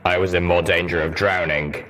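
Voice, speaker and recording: A man voicing a gruff armoured ghoul pony soldier, stern, terse and deliberate. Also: low voice, fairly even intonation, a bit bright. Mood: grudging admission.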